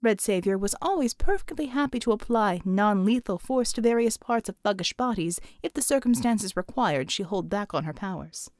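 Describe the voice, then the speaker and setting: A woman's voice: mid-range voice, expressive, moderate pace, hardly any pauses, a little mellow, no room sound, studio quality. A woman reading an audiobook, narrating fiction expressively from a script.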